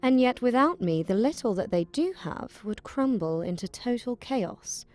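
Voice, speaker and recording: A woman's voice: fairly high pitch, expressive, moderate pace, darkish tone, lightly acted. An actress voicing a cold, imperious elven lady who owns a mine.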